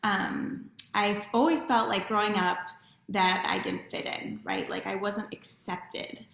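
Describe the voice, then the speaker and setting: A woman's voice: medium pitch, fairly even intonation, slow pace, gravelly, a bit bright, roomy sound. A woman in her thirties from Chile, a podcast guest telling her life story in a candid, reflective way with frequent "right?". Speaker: podcast guest, community builder. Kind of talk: personal storytelling interview.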